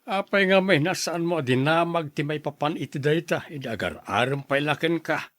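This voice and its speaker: A man's voice: on the high side, lively intonation, normal speed, fairly bright tone, no room sound. A man acting a protective middle-aged father who advises his daughter earnestly in an Ilocano radio drama. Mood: concerned.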